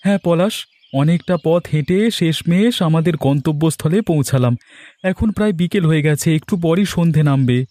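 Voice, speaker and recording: A man playing an excitable young village camper in an audio drama, speaking in an emphatic, dramatic way. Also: a little high, moderate pace, long pauses, dry recording, natural voice. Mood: excited. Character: excitable young village man, Ratan, camping with his friend. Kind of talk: Bengali audio drama acting.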